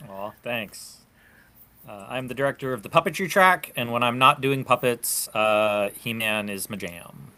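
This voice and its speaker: A man with a bright, crisp-edged voice at a middle pitch. He chats casually as a panel guest at a slow, easy pace. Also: expressive. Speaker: puppetry track director, panelist. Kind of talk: casual chat.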